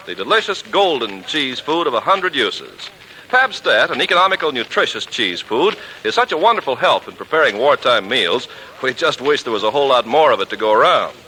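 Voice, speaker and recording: A man's voice: medium pitch, bright, forceful tone, slight background noise, rough recording. A man working as a radio announcer, selling in a polished, emphatic 1940s advertising style. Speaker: radio announcer and sponsor pitchman. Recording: vintage 1940s radio recording.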